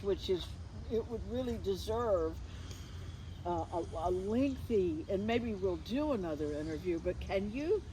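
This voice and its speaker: A woman interviewing in a conversational way, thinking aloud in long, winding sentences before getting to her question. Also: on the low side. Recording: clear background ambient noise.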